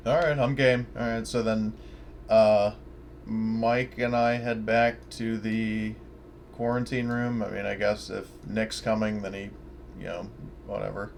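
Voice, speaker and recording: A man's voice: lowish voice, little pitch movement, a little slow, vocal fry. A man chatting casually and exclaiming with swearing as a player in a tabletop roleplaying game. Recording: faint background noise.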